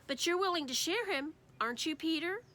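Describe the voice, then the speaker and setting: A woman's voice: very high-pitched, animated, a little fast, pushed and bright, voice pushed up, exaggerated voice. A woman voicing a patient, matter-of-fact, slightly evasive father in a storybook. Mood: suggesting.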